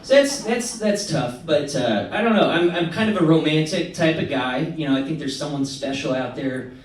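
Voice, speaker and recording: A man doing a stand-up comedy set in a halting, self-deprecating way. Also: a little high, animated, slight background noise. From Missouri, United States. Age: 20s. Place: indoor comedy venue. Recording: echoey club set from far off, crowd laughter. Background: audience laughter.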